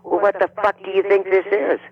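A man voicing a hostile old woman on a phone call who curses and threatens in drawn-out words. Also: sits high, flat delivery.

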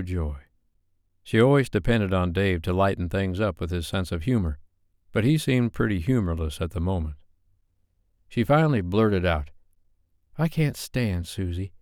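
Deep, smooth male audiobook narrator. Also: a little mellow, no room sound, clean studio sound. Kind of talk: scripted fiction narration.

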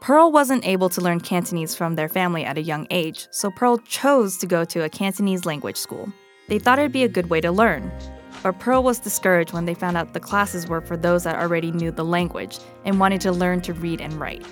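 A woman's voice: fairly low pitch, fairly quick, faint music behind. A woman hosting a podcast, moving between scripted personal narration and interview talk. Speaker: podcast host.

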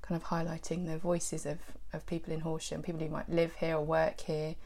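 A woman's voice: low voice, monotone, a little fast, a little mellow. A woman, co-hosting a casual podcast chat in a chatty way. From West Sussex, England. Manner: chatty, with fillers and laughs. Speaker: podcast co-host.

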